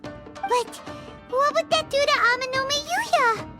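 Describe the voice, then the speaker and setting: A woman voicing a small, excitable, anxious childlike fairy companion in a video game, with a squeaky voice. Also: takes it slowly. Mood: worried. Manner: exclamatory, refers to self by name. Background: game music.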